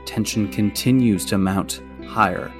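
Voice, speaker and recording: A man's voice: on the low side, measured pace. A man reading scripted promo narration as the narrator of a podcast trailer. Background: guitar music.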